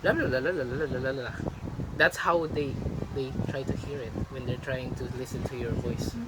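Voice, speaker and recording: A man's voice: mid-range voice, steady tone, normal speed. A young man from the Philippines critiquing a family member's video in casual chat, emphatic and lecturing, repeating his points. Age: young adult. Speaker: vlogger's son, critic. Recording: noisy home recording.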